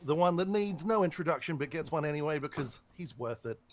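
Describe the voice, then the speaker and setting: A man's voice: fairly high pitch, expressive, brisk, crowd noise. A man, a player in a tabletop game, chatting casually in a playful, teasing way. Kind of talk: casual chat.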